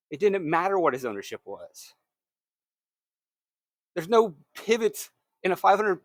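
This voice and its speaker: A man's voice: sits high, no echo, clean studio sound. A man hosting a solo fantasy racing picks show, talking in a conversational, emphatic way that corrects itself, his throat a little scratchy.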